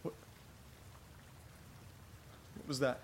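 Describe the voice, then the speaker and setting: An actor playing a frightened, bewildered man in a horror audio drama, muttering haltingly to himself, repeating words and swearing. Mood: uneasy confusion. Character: frightened, bewildered man trapped near his haunted house. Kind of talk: first-person horror audio drama monologue. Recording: clear background noise, vehicle rumble.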